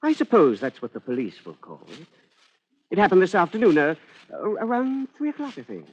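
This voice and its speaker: A man's voice: high-pitched, wildly swooping pitch, brisk, slightly rough, exaggerated voice. An actor playing an arrogant, flippant British man-about-town who is a killer in hiding, in 1940s radio drama style. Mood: defensive, callous. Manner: haughty, flippant, old-boy phrasing. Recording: dull lo-fi old radio recording.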